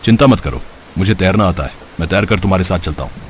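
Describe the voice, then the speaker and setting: A man's voice: sits low, expressive, fast talker, slightly rough. A man playing a dutiful, reassuring doctor in a cartoon story. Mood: urgent, helpful. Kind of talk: Hindi cartoon story voice acting.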